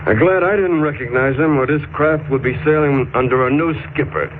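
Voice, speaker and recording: An actor playing a genial, polite ship's captain in a 1940s radio drama. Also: male voice, on the high side, a little fast, lightly acted. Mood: genial, apologetic. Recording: tinny, faintly noisy 1940s radio recording.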